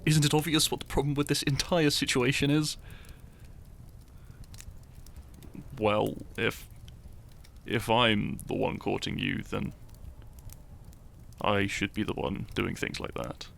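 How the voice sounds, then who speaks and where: A man playing a shy young farmer turned hero in an intimate romantic audio roleplay, tender and teasing, speaking softly and haltingly. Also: fairly low pitch, steady tone, gravelly, slight background noise. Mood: flustered, bashful. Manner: soft, hesitant, halting, sighing and chuckling.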